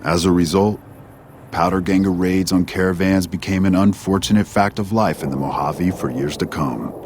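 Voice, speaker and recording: A man's voice: low-pitched, normal speed, music in the background. A man playing a stern chronicler, narrating a video game epilogue in a grave, matter-of-fact way. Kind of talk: scripted game ending narration.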